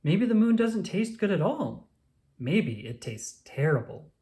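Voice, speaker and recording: A storyteller voicing a small, curious bird friend in a children's storybook, with expressive, questioning delivery. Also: medium pitch, unhurried, voice pushed up. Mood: doubtful, curious. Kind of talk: children's storybook read-aloud.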